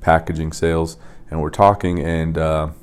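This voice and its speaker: A young man from Las Vegas hosting a podcast, telling stories in a loose, rambling way with repeated words and soft laughs. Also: very deep voice, level tone, normal speed, smooth. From Las Vegas, USA. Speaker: podcast host and coach. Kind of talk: casual storytelling monologue.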